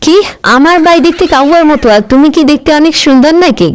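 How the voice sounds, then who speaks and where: A woman's voice: sits high, quick pace, noisy background, lightly acted. A woman voicing a bossy, vindictive grandmother politician in a comic cartoon, nagging and sulking as she makes demands. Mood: bossy, offended.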